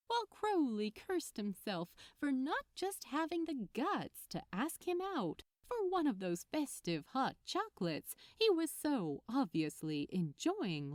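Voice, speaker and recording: Female fiction narrator: measured pace, animated intonation, close dry sound for gentle romantic storytelling. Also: a little high, dry recording. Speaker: podfic narrator.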